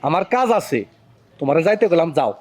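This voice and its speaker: Brusque, scheming village man, speech, high and animated, played by a man. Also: fast. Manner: brusque, bossy with his wife. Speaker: neighbor.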